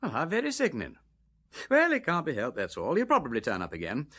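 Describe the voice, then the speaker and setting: An actor playing a dapper, shrewd aristocratic detective of the 1920s in a radio drama, with an upper-class English accent and a brisk drawl. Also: male voice, fairly high pitch, wildly swooping pitch, sharp tone, full character voice. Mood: brisk, persuasive.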